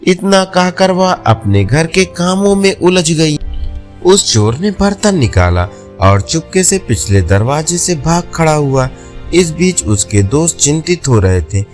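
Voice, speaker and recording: A man tells a folk tale in a scripted storytelling style. His voice sits a little high and moves with very lively intonation at a slow, measured pace. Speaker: storyteller, narrator.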